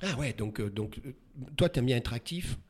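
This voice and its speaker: A man's voice: mid-range voice, lively intonation, normal speed, slightly rough. A man hosting a casual interview, chatty, with many repeated words and fillers. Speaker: host. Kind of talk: casual interview, warm banter.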